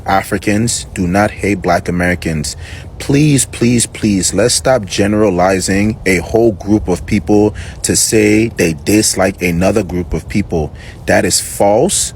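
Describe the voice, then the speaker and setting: Low-voiced man pleading earnestly. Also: a little hiss or hum. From born in Liberia, raised in New York City. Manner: pleading, repeats himself, many fillers. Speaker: reaction video host. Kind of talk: earnest commentary to camera.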